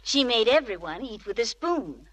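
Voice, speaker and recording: Chirpy gag-teller, fairly high. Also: female voice, sing-song, moderate pace, fairly bright tone. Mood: chirpy, teasing. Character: chirpy young woman telling gags in radio ad. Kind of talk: 1940s radio ad banter. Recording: old radio broadcast.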